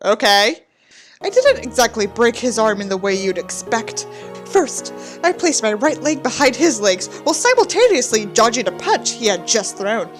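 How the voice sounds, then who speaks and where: A woman's voice: a little high, sing-song, normal speed, faint music behind. A woman giving a mock-dramatic reading of a book with theatrical, sarcastic delivery and candid asides. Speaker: host reading a book aloud with commentary.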